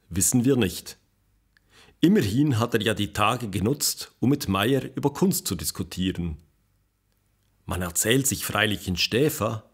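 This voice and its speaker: Husky male documentary narrator. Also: on the low side. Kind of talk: scripted documentary radio play narration.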